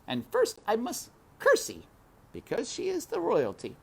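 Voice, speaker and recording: Storybook serving girl, played by a woman reader: earnest, dim, rustic, shouty, pitched way up with big swoops. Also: huge pitch swings, unhurried, vocal fry, husky, exaggerated voice. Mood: earnest muttering. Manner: shouty, rustic uneducated speech. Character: slow-witted, hard-of-hearing serving girl, earnest and muttering.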